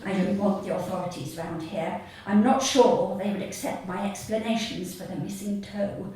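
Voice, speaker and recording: A woman reading her comic short story live to an audience, in a deadpan, measured way with deliberate pauses. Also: mid-range voice. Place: theatre stage at a live reading event. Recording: echoey hall, distant mic, audience noise. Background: audience laughter.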